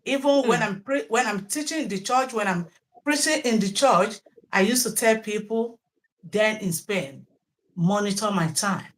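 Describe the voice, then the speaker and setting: A woman's voice: medium pitch, moderate pace. A middle-aged Nigerian woman, a native Yoruba speaker, talking at length as a teacher being interviewed, often repeating words and restarting. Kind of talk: interview answers.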